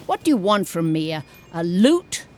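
An actress playing a sharp-tongued, meddling older woman in a comedic audio drama, wry and blunt. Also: on the low side, very animated, moderate pace, slight background noise. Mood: wry, grumbling.

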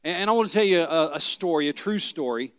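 A middle-aged pastor from Tennessee, preaching a sermon with folksy stories and rhetorical questions. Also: male voice, a little high, lively intonation, fast talker, clean tone, no echo, studio quality, big-room sound. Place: church sanctuary.

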